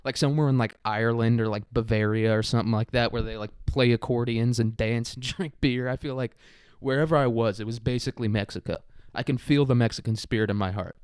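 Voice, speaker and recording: A young American man with a clear, smooth voice talks in a very dry-sounding space. He rambles through a casual comedic podcast monologue with a crude, irreverent edge. His pitch sits in an ordinary middle range for a man. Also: steady tone, fast talker, darkish tone, dry recording. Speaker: solo podcast host.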